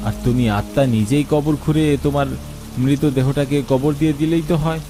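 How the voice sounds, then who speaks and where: A man playing a sceptical, baffled storyteller in a first-person horror cartoon, with an expressive, questioning manner. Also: fairly high pitch, fairly quick, over music, lightly acted. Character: sceptical, baffled man narrating his ghostly night encounter. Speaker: narrator and lead character.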